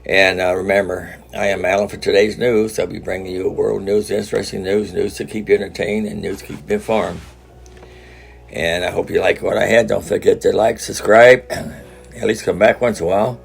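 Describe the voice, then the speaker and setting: A man's voice: deep voice, fairly even intonation, normal speed, vocal fry, faint music behind. A man hosting his own news channel, chatting casually about an article in a rambling way and often stumbling and restarting his sentences. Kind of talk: casual commentary on a read article.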